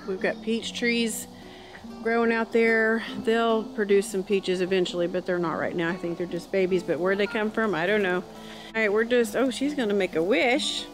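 A woman's voice: mid-range voice, low-quality recording. A woman chatting cheerfully as she walks through her backyard garden, filming with a handheld phone. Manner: chatty, cheerful, thinks aloud. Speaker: homesteader vlogger. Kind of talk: casual walking garden tour. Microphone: phone camera held while walking. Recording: guitar music underneath.

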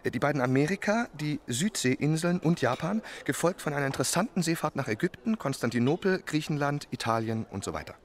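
An actor playing a giddy, tipsy young French aristocrat in a German radio play, effusive, halting and theatrical. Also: male voice, fairly high pitch, expressive, close to an everyday voice. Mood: blasé, amused.

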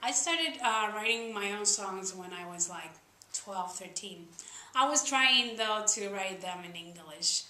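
A woman's voice: mid-range voice, unhurried, bright, forceful tone, roomy sound, rough recording. A young woman from Spain with a Spanish accent, talking casually to camera about songwriting in earnest, slightly uneven English. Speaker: singer-songwriter vlogger. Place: small room indoors.

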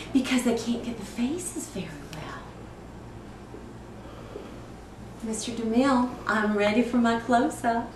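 A woman playing a disturbed, wistful, eerily childlike mother in a dramatic film monologue, halting and drifting between murmurs and sudden emphasis. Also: fairly high pitch. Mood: suspicious, coy. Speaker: actor performing a film monologue. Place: echoey indoor studio room. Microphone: distant microphone. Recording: echoey room, distant mic, clear noise.

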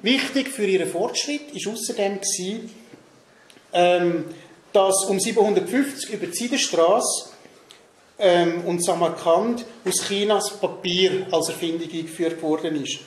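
A fairly high-voiced man in his thirties with a Swiss German accent reads a prepared talk from his notes while seated at a table. He often stops on difficult names with ums and restarts. The sound is roomy, with faint noise in the background. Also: a little slow. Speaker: presenter. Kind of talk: reading a prepared talk at a discussion evening. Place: meeting room in a large hall, seated at a table.

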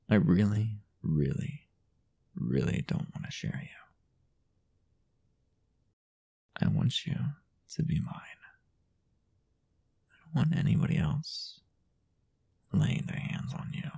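A man performs a teasing, possessive young boyfriend in intimate roleplay audio. His low voice is husky and breathy, swinging widely between murmur and lift. He coaxes coyly, with hesitant pauses and a dominant edge. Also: dark tone, full character voice. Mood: possessive, coaxing. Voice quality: husky, gravelly, often breathy or whispered. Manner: hesitant, coy, coaxing murmur with drawn-out pauses.

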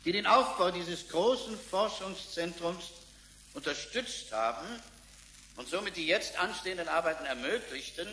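An actor playing a passionate, formal scientist in a radio drama, speaking in an emphatic, oratorical way. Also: male voice, high voice, moderate pace, noisy background. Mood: solemn, confident. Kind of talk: German radio drama acting. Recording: roomy, echoing sound.

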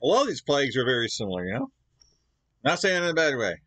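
A man's voice: medium pitch, very animated, quick pace, a bit bright. A man in his forties from Canada, reacting enthusiastically at home on a desk mic. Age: 40s. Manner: enthusiastic, exclamatory. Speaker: reaction video host. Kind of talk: casual commentary. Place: home room, indoors.

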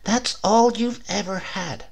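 A man voicing a scolding, exasperated storybook wife with a sharp, snapping, nagging manner. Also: high-pitched, slow, vocal fry, voice pushed up, full character voice. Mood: bitter.

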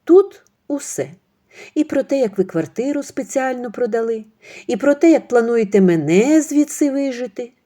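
A woman's voice: on the high side, animated, dark tone, pitched above the performer's own voice, lightly acted. A woman voicing a firm, angry young wife. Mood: cold. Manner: firm, clipped, measured.

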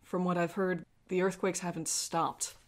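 A woman game master voicing a guarded, weary man who speaks in measured, formal phrases, in a tabletop game. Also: fairly low pitch, little pitch movement, lightly acted. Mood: grave, resigned. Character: guarded, weary man, impatient refugee leader with a wife.

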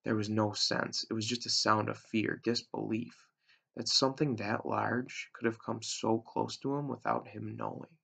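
A man in his thirties with a gravelly, husky voice, reading a storybook aloud like a teacher to a class. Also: lowish voice, fairly even intonation, moderate pace, a bit bright. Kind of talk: read-aloud storytelling narration. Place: small room indoors at home. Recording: dull, lo-fi webcam sound.

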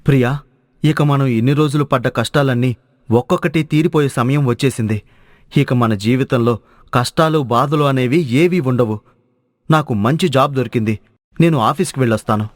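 A man's voice: mid-range voice, normal speed, dry recording, studio quality, close to an everyday voice. A man voicing an earnest, caring young husband in a moral story. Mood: hopeful. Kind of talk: dramatized moral story dialogue.

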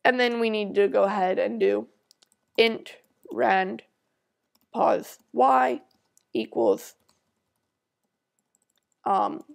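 A woman with a stuffy, congested voice, talking at an unhurried pace through a coding tutorial. Her voice has a gravelly edge of fry as she reads out code while typing and thinks aloud between steps. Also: medium pitch. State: stuffy nose. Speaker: tutorial maker.

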